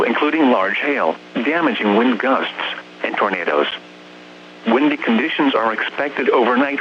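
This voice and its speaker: A dull, slightly noisy radio broadcast with a hum carries a man's mid-pitched voice. He reads a scripted weather bulletin in a formal, measured and impersonal manner.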